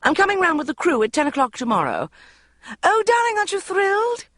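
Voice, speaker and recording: A woman's voice: extremely high pitch, huge pitch swings, brisk, a bit bright, no echo, clean studio sound, pitched above the performer's own voice, exaggerated voice. A woman voicing a pushy, theatrical middle-aged mother who gushes and coos. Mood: gushing. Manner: gushing, cooing, wheedling.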